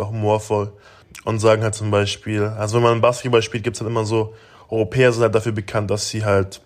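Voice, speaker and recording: Young man, late teens, from Germany, rambling interview answers, lots of fillers, fairly low voice, flat delivery. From Germany (Augsburg). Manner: relaxed, rambling, many fillers and restarts. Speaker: interview guest, basketball player.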